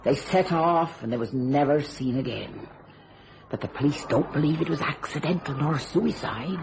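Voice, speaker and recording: A woman's voice: deep voice, animated, normal speed, gravelly, noisy background. A woman playing a chatty, superstitious, kindly English charwoman in a radio thriller, folksy and ungrammatical, with colourful turns of phrase. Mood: confiding.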